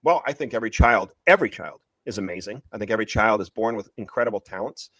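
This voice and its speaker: A man speaking as an author guest in an interview, pitching his books in an emphatic, opinionated way and repeating phrases for stress. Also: animated, fast, fairly bright tone, no room sound. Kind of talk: passionate conversational pitch of a book series.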